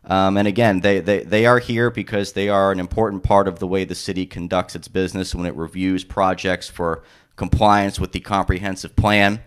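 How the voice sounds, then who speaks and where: Male city planner, very low pitch, formal meeting welcome with filler-heavy delivery. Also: normal speed, clean tone. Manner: steady, formal, with frequent "uh" and "um". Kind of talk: public meeting welcome remarks. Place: public meeting room.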